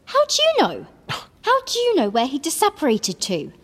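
A woman's voice: high voice, very animated, moderate pace, a little mellow. A woman playing an earnest, argumentative teenage witch in an audiobook drama, speaking insistently. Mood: indignant, insistent. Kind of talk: full-cast audiobook drama.

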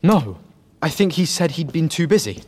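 An earnest teenage boy wizard with a fairly high male voice. He is thoughtful and unassuming, speaking plainly with slight hesitation in a dramatised audiobook. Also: sing-song, normal speed, a little mellow. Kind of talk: full-cast audiobook drama.

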